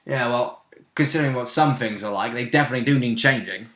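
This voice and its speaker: A man's voice: mid-range voice, fast talker, a bit bright. A man chatting casually as a player about a tabletop role-playing game. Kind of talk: casual chat recapping a game session. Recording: roomy, echoey indoor recording.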